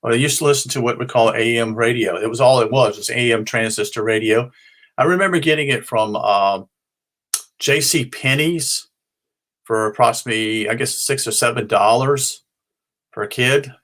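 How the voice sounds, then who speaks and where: A middle-aged or older man from North Carolina with an American accent, giving an informal, unscripted explanation as a hobbyist host in a halting, rambling way, often correcting himself. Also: medium pitch, a bit bright. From North Carolina, United States. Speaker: ham and shortwave radio hobbyist presenting his show.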